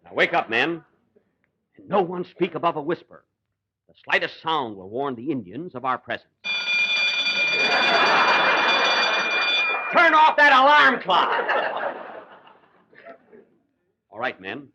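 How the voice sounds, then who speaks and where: A man's voice: a little high, big pitch swings, normal speed, background noise. A man playing a fussy, exasperated Ranger major in a 1940s radio comedy, mock-commanding and put-upon. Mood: hushed bossy. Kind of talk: 1940s radio comedy acting before live audience. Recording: 1940 live radio broadcast.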